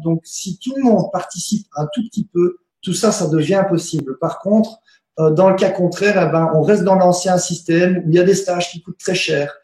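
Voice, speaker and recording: A man's voice: high voice, mellow, reverberant, rough recording. A man who is not a native speaker, a guest therapist talking in a casual interview, hesitant, with many fillers and restarts. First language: not French.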